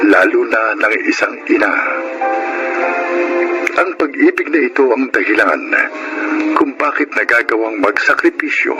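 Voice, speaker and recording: Male Filipino announcer, medium pitch, scripted drama narration over prominent music. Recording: loud music behind.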